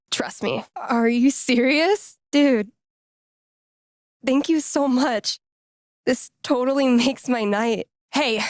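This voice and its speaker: A woman playing a cool, sarcastic, warm-hearted young indie musician in a video game, with an American accent and casual, slangy delivery. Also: fairly high pitch, no room sound, studio quality. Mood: playful, excited, then friendly. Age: young adult. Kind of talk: naturalistic video game voice acting.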